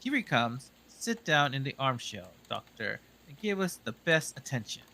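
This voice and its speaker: A man's voice: mid-range voice, sing-song, bright, forceful tone, exaggerated voice. A man voicing a confident, dry-witted male detective in a story reading. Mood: assured. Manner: brisk, crisp and dryly assured. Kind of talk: audiobook reading.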